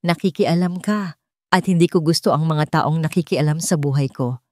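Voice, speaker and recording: A woman's voice: sits low, moderate pace, a little mellow, no echo, clean studio sound, natural voice. A woman voicing a scheming, venomous wealthy wife in an audio drama, switching between fake sweetness and menace. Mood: venomous, threatening. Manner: fake cheer turning to hissed threats.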